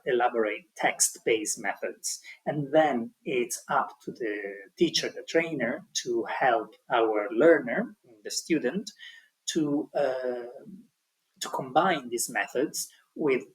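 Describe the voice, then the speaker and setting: Man, husky, mid pitch, tutorial, hesitant with fillers. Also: poor audio. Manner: hesitant, many ums and restarts. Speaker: language teaching tutor. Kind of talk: explaining an online tutorial.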